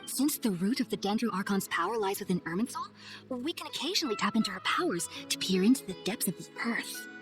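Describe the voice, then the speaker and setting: A woman playing an earnest, polite young scholar in a video game, speaking in a formal, measured, articulate way. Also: mid-range voice, expressive, faint music behind, natural voice. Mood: earnest, explaining. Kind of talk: video game cutscene acting.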